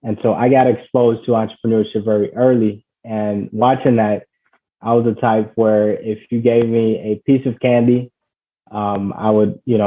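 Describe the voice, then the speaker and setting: A man from Ghana, a guest on a call, telling his life story in an earnest, conversational way, full of "you know" and "basically.". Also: lowish voice. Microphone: call audio. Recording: tinny phone-like call audio.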